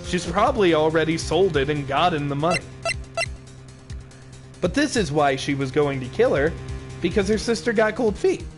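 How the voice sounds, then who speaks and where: A man's voice: fairly high pitch, lively intonation, normal speed, long pauses, over music. A young man hosting a casual let's-play, thinking aloud, joking and reading game text. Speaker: podcast host playing a game.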